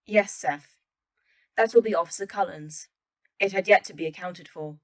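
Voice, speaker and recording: An actress playing a polite facility A.I. in an audio drama, speaking in a formal, detached way. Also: female voice, fairly low pitch, moderate pace, natural voice. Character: calm, polite female facility A.I.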